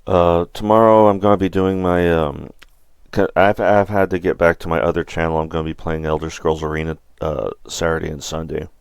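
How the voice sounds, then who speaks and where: Low-voiced middle-aged man, clear and close. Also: little pitch movement, brisk, no echo. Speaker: host of a gaming livestream. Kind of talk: casual livestream chat.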